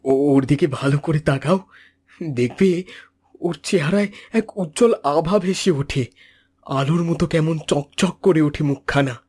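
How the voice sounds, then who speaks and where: A man's voice: on the high side, unhurried, darkish tone, breathy edge. A man voicing a poor fisherman and doting father in a fairy-tale cartoon, earnest and full of emotion. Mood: joyful, tender.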